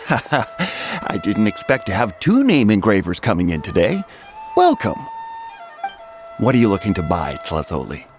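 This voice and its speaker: A man's voice: fairly low pitch, wildly swooping pitch, measured pace, pauses often, over music. A man voicing a jovial, generous shopkeeper who chuckles often in a video game. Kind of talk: game voice acting.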